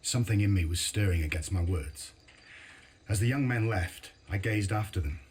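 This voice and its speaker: An actor playing a weary, guarded war veteran in a video game, speaking gravely and with measured delivery. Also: male voice, on the low side, a little mellow. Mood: brooding. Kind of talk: dramatic game dialogue and reflective narration.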